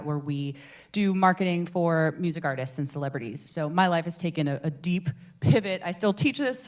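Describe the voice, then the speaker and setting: Chatty woman leading a workshop. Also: low-pitched. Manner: chatty, animated, self-interrupting, asks the room questions. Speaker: public speaking workshop leader. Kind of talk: informal workshop teaching. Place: meeting room with a live group.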